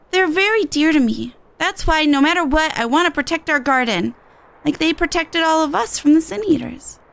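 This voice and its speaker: A woman's voice: sits high, fairly bright tone. A woman voicing a whimsical, sentimental little pixie from a video game, chatty and given to musing aloud. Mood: wistful. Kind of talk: acted reading of video game dialogue. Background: game music.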